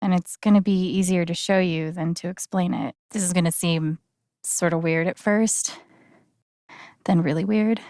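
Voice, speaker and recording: An actress playing an earnest, gentle young woman in a video game, speaking softly and haltingly with sincere, naturalistic delivery. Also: on the low side, no room sound, clean studio sound. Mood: earnest, guiding.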